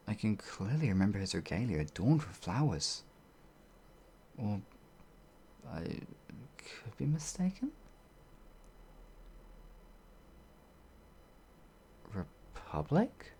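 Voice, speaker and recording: An actor playing a bewildered, humble werewolf outlaw in an ASMR fantasy roleplay, with halting, old-fashioned speech and a husky voice. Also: low voice, darkish tone, slight background noise, exaggerated voice. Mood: confused. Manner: halting, flustered, pleading, old-fashioned wording.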